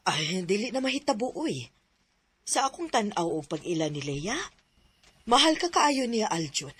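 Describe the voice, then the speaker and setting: A loving mother in a radio drama, speaking gently and reassuringly. Her voice is mid-pitched with a slightly husky edge. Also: sing-song, normal speed.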